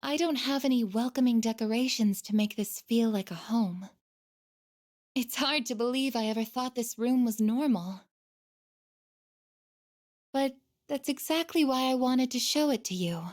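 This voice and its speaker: A woman voicing a gentle, earnest female android in a video game, speaking softly and hesitantly with shy devotion. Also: fairly high pitch, natural voice. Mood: hesitant, sincere. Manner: soft, hesitant, deliberate, tender. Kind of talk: video game voice acting, emotional dialogue.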